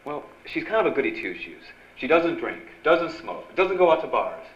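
An American man playing a brash, smooth-talking young newspaper reporter in a period radio drama. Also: fairly high pitch, very animated, normal speed. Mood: hesitant. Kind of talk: 1940s-style radio drama acting. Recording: dull lo-fi sound, loud music behind.

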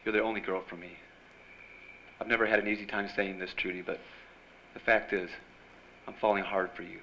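A man's voice: fairly low pitch, level tone, fairly quick, creaky. A man playing an earnest, romantic young reporter hero in an old-time radio drama, with a theatrical, emphatic delivery. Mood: tender. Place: stage before a live audience. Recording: dull, roomy live stage recording, loud noise.

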